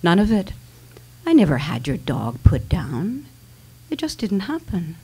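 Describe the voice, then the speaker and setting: Woman acting a husky, blunt, vain mother. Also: lowish voice, wildly swooping pitch, a little slow, mellow, exaggerated voice, poor audio. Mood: dismissive. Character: vain, blunt, ailing mother of a grown daughter. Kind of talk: dramatic reading of a novel on stage. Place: small dimly lit stage venue. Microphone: microphone on a stand.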